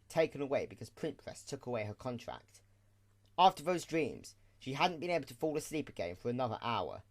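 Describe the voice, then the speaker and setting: A man reading scripted story narration for an audio drama. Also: medium pitch.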